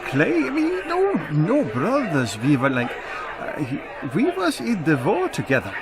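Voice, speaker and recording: A man's voice: fairly high pitch, wildly swooping pitch, full character voice. A man acting a flustered immigrant farmer amid crowd din. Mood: anxious, protesting. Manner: halting broken English with stammers. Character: flustered immigrant farmer known as the Dutchman, protesting innocence. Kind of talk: old-time western radio drama acting. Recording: noisy, with loud crowd din.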